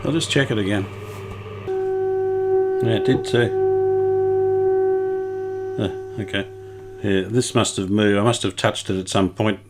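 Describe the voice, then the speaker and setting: A man with a fairly low voice talks through a hands-on repair job. He is casual and unscripted, thinking aloud as he works his way through each step. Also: steady tone, a little slow, pauses often, loud music behind the voice. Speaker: host of radio repair video.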